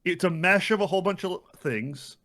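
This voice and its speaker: A man co-hosting a gaming podcast, talking casually and analytically in a measured, reflective manner. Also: sits high, a bit bright. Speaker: podcast co-host. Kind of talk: casual analytical game discussion.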